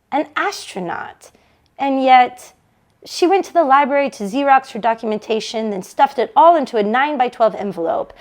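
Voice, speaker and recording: A woman reading a novel aloud in an expressive storytelling style. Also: on the high side. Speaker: bookshop read-along reader.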